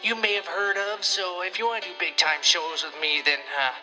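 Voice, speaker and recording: A man's voice: high-pitched, fairly even intonation, normal speed, close to an everyday voice. A man playing a self-important small-town comedy promoter, rambling hesitantly and pompously through a voicemail-style message with petty threats. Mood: smug, veiled threat. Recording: phone call with faint music.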